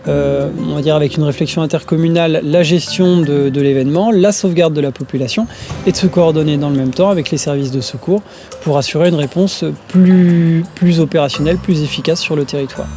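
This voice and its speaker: A man giving a measured explanation in an interview. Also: fairly high pitch. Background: music.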